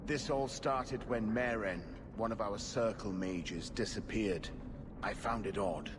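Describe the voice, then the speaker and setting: An actor playing a weary, middle-aged templar knight in a video game, formal, earnest and discouraged. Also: male voice, on the low side, measured pace, lightly acted. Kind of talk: video game dialogue acting. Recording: clear noise behind the voice.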